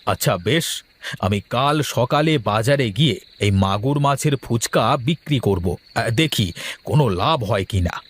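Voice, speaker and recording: A man acting out a homely snack seller from a children's cartoon. He is mild and a little hesitant, and his voice moves with lively, animated swings as if chatting to himself or his family. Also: mid-range voice, moderate pace, natural voice. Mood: easygoing. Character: mild, homely married fuchka seller who farms fish.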